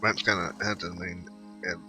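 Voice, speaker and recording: Male gaming host: deep voice, casual, halting and repetitive commentary, music clearly behind. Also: little pitch movement, sharp tone. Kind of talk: casual game playthrough commentary.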